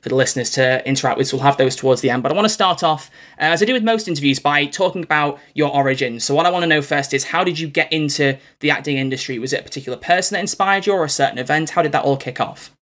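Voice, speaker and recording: A man's voice: a little high, rapid-fire, few pauses, a bit bright. A young man hosting a podcast interview from home, chatty, effusive and rambling as he talks to a guest. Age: young adult. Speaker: podcast host. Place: small home room, video call.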